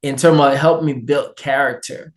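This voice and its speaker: This is a man with a Haitian accent, a guest talking through his journey with upbeat energy. His pitch sits in an ordinary middle range. He laughs easily and tells his story in a loose, casual way. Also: steady tone, normal speed. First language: Haitian Creole and French.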